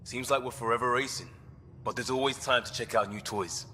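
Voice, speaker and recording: A man's voice: fairly even intonation, brisk, sharp tone, close to an everyday voice. An actor playing an upbeat, easygoing, tech-loving crewman in a video game, with a casual, breezy delivery. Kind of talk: video game cutscene acting. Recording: long reverb, faint rumbling noise.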